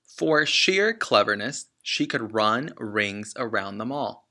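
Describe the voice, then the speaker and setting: A man reading a children's book aloud like a teacher, expressively and with deliberate emphasis on key words. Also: lowish voice, lively intonation, pushed and bright.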